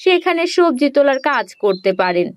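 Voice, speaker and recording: A woman's voice: sits high, big pitch swings, brisk, a little hiss or hum. A woman voicing a nosy, persuasive village neighbour in a cartoon, pressing advice on the listener. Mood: pressing, advising. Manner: pressing, advising. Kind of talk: Bengali animated fairy-tale voice acting.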